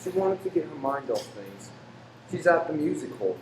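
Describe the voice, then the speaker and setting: A woman's voice: low voice, big pitch swings, normal speed, darkish tone. A woman playing a doting, bewildered husband and father in an earnest, theatrical radio-play style. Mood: tender, calm. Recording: roomy, echoing, faint background noise.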